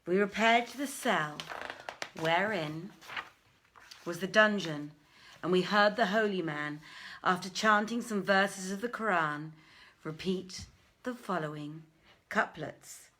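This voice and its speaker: A woman's voice: lowish voice, slow, pauses often, pushed and bright. Woman hosting and reading stories aloud. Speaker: host and reader, theatre director. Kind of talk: informal livestream welcome, then dramatic storybook reading.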